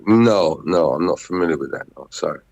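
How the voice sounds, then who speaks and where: A man's voice: low voice, lively intonation, brisk, no echo. A man, a musician guest chatting loosely and repeating himself on a remote call. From Netherlands. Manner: laid-back, repetitive, shouts out greetings. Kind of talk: casual interview chat.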